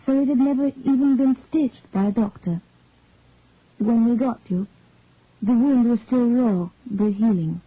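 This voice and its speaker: A woman reading the part of a reserved, formal older adoptive mother, speaking in a measured way. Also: fairly high pitch, husky, pitched above the performer's own voice. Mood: earnest. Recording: dry, tinny phone-like sound.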